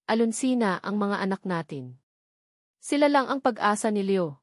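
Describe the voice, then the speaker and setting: A woman's voice: mid-range voice, lively intonation, normal speed, dry recording, clean studio sound, natural voice. A woman with a Filipino accent voicing a desperate, pleading father in a dramatic story. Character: desperate, pleading billionaire father, broken with worry.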